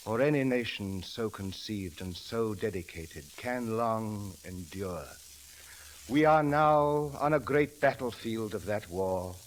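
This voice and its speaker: An actor playing a solemn, reverent man giving a deliberate recitation in an old 1930s radio drama, on a crackly recording. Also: mid-range voice. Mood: hushed, solemn. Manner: solemn, deliberate oratory, swelling from hushed to fervent.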